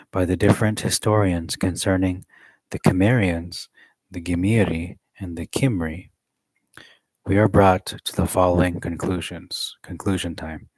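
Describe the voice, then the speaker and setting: Man, very low voice, measured reading, casual asides, dry close recording. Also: darkish tone, no echo, rough recording. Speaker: reader of a study text. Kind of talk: reading a book aloud with asides.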